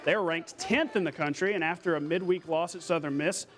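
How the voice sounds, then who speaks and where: A man's voice: fairly high pitch, a little fast. An American man anchoring a local TV sportscast, reading scripted news with playful, conversational asides.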